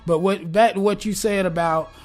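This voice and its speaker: A man in his forties, talking casually and earnestly in an interview, upbeat and a bit preachy, and quick to laugh. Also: high voice, steady tone, a little mellow, faint music behind. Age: 40s. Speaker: guest, gospel rap artist. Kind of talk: casual conversation.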